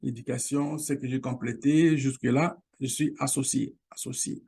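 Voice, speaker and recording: A man whose first language is Lingala, hosting a casual screen-share tutorial, halting and restarting often, speaking straight to his viewers. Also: mid-range voice, fairly even intonation, creaky, a little mellow, husky. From Congo region, Lingala first language. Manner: halting, with many restarts and fillers; direct appeals to viewers. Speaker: online course channel host.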